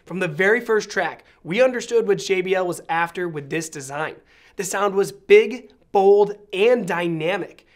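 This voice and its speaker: An American man in his thirties giving a scripted review to camera with polished, articulate presenter delivery. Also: sits high. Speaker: presenter of an audio product review. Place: small indoor studio set.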